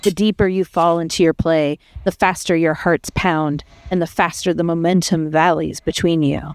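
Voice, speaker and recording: A woman playing a solemn, poetic storyteller, reading scripted game narration in a measured way. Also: lowish voice, fairly even intonation, a little mellow, slight background noise, natural voice. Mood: solemn intensity.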